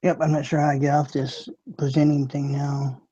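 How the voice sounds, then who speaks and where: A man's voice: on the high side, flat delivery, moderate pace, dark tone, slightly rough. Laid-back man on lo-fi stream audio. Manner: rambling, laid-back, chuckles often. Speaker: live stream host. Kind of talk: casual live stream chat.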